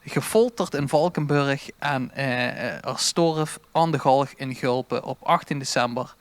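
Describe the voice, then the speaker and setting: A man with a Limburgish Dutch accent co-hosting a podcast in casual, halting talk. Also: mid-range voice, no echo. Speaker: podcast co-host. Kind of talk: casual chat.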